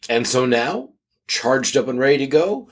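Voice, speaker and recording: A man's voice: mid-range voice, animated, normal speed. A man co-hosting a podcast in casual banter, with a playful, wry, joking manner. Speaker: podcast co-host.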